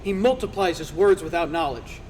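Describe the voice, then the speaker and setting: A man's voice: a little high, normal speed, a little mellow, natural voice. A man playing an earnest male counselor who rebukes and lectures in a declamatory dramatized scripture reading. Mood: admonishing. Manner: declamatory, preaching. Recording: reverberant hall, faint background noise.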